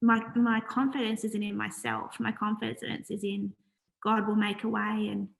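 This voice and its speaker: A soft-spoken woman, a charity founder, talking earnestly in a casual interview with many pauses and fillers. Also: medium pitch, level tone, vocal fry, poor audio.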